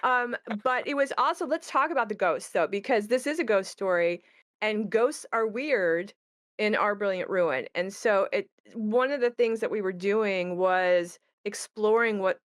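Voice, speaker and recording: A woman with a smooth, clean voice of medium pitch talks casually as a co-host. Her delivery is playful and promotional, recorded close and dry. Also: unhurried, dry recording. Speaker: game creator co-hosting a promotional chat.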